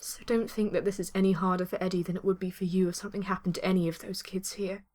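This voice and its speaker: A woman playing a caring, soft-spoken young survivor in an amateur audio drama. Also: medium pitch, fairly even intonation, fast talker, lightly acted. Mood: caring, earnest. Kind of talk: fan audio drama acting.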